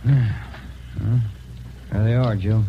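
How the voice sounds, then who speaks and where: An actor playing a steady, plain-spoken police detective, matter-of-fact, in a 1940s radio drama. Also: male voice, on the low side, drawn-out words, creaky, lo-fi sound, exaggerated voice. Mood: grim. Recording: very noisy vintage radio recording.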